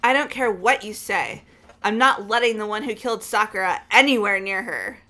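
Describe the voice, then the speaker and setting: A woman's voice: mid-range voice, normal speed, sharp tone, lightly acted. A woman voicing a stubborn, angry, grieving and loyal young woman in a fan-voiced visual novel game. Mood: angry, defiant.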